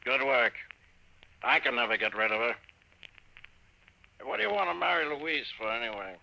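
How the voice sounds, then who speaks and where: Gravelly, gruff old railroad detective. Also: male voice, mid-range voice, lively intonation, normal speed, sharp tone, lo-fi sound, exaggerated voice. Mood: grumpy. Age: older. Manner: gruff, blunt, grumbling. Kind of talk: 1950s radio comedy-horror acting.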